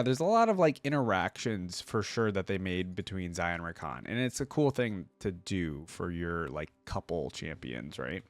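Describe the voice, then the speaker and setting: A man's voice: fairly low pitch, no room sound. A man hosting a reaction video with casual, informal commentary, thinking aloud as he goes.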